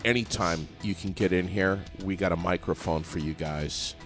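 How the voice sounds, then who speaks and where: Deep-voiced joking radio host. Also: male voice, moderate pace, a bit bright, soft background music. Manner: loose, joking, swears casually. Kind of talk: casual radio interview. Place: radio studio.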